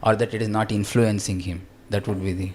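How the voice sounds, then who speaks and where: Faint noise sits behind a male student with a fairly low voice. He reasons aloud tentatively, posing analytical questions about scripture. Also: level tone, fairly quick, darkish tone. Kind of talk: probing scriptural discussion.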